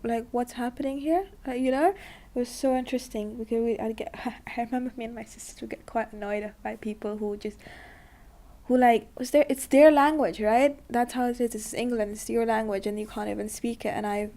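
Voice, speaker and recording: A woman's voice: on the high side, fairly even intonation, a little fast, mellow. A young woman from Dubai, talking casually in an interview, full of likes and you-knows. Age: young adult.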